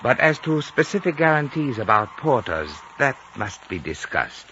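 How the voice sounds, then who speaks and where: An actor playing a courteous, enigmatic elderly Chinese lamasery guide with formal, deliberate speech in a 1950s radio drama. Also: male voice, medium pitch, very animated, sharp tone. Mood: courteous, evasive. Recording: dull, noisy 1950s radio recording.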